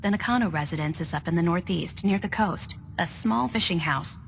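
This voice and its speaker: An actress playing a wry, teasing detective agency secretary in a video game, brisk and matter-of-fact. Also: female voice, on the low side, vocal fry, in a large hall, slight background noise. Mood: businesslike. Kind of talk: video game noir voice acting.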